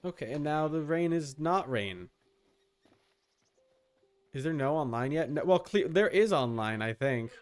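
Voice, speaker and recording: A man's voice: on the high side, expressive, a little slow, long pauses. A man giving casual livestream commentary on a game, thinking aloud in slangy language with some swearing. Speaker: livestream host.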